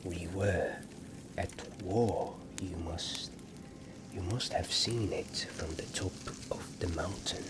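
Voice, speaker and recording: Very slow, low whispering fantasy war companion man acting. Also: background noise. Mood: solemn, relieved. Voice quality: soft, breathy whispering. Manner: slow, reassuring, with long pauses. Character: weary, gentle male war companion in a fantasy epic. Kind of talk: ASMR fantasy roleplay.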